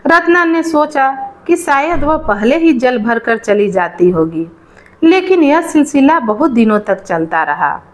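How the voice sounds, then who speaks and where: A woman's voice: sits high, very animated, unhurried. A woman reading a scripted moral folk tale aloud as a storyteller.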